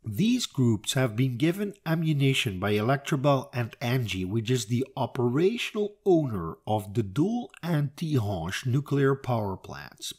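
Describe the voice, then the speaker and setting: Husky Dutch-accented man, lively delivery. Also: measured pace, hardly any pauses. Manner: opinionated, emphatic, lively pitch swings. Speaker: video commentator. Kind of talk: educational commentary, reading a translated article.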